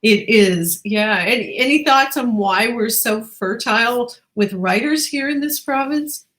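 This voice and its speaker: A woman's voice: on the high side, measured pace, a bit bright. A woman from Newfoundland hosting an online interview show in a conversational style.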